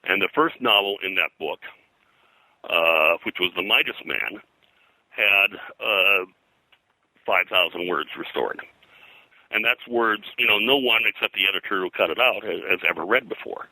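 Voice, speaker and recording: An American man with a lowish, sharply bright voice, recorded dry and close. He talks as an expert guest in an interview, piling up details and drifting into side stories as he goes. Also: normal speed, no echo, poor audio. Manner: rambling, digressive, detail-heavy. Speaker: interview guest, pop culture historian and publisher. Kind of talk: conversational interview, telling detailed anecdotes.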